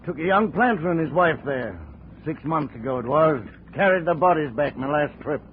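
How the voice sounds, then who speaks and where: A cynical sea captain from an old radio adventure, played by a man. His fairly high voice swings widely in pitch, salty and sardonic. It comes through a thin, noisy 1950s broadcast recording. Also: expressive, normal speed, phone-quality sound, lightly acted. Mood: grim, nosy. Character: cynical, weathered ship's captain of the Eastern seas. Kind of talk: 1950s radio drama acting.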